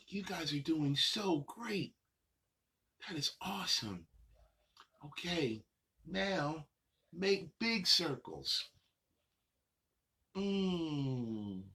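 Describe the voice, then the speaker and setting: A man hosting a children's session, guiding movement with encouraging, drawn-out instructions. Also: on the high side, sharp tone. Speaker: children's show host leading warm-up exercises.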